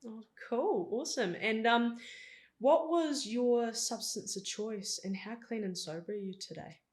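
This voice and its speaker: A woman's voice: fairly high pitch, measured pace, darkish tone. A woman hosting a podcast in a conversational interviewing style. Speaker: podcast host.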